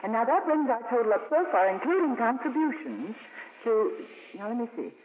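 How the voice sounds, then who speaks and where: An actress playing an earnest, gentle nun in a 1950s radio drama, hopeful and a little halting. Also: female voice, a little high, very animated. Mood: polite, businesslike. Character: earnest, hopeful nun raising money for her cause. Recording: old, lo-fi, hissy radio broadcast.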